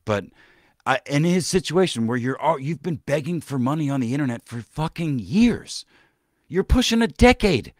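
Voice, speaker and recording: A man's voice: fairly high pitch, expressive, normal speed, no echo, clean studio sound. A man hosting a podcast, rambling and swearing through casual commentary, with many restarts and trailing-off sentences. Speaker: podcast host.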